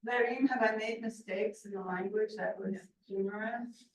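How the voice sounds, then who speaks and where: An older woman answering questions on a video call in a chatty way, laughing often and trailing off softly. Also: mid-range voice, steady tone, moderate pace. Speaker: presenter. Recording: echoey room from far off, faint noise.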